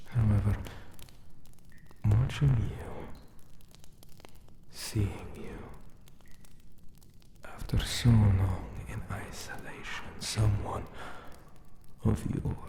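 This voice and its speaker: A man playing a lonely, possessive ancient Anubis guardian in an ASMR roleplay, speaking formally and tenderly with menace in a breathy half-whisper. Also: fairly low pitch, echoey room, noisy background. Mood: lonely, yearning.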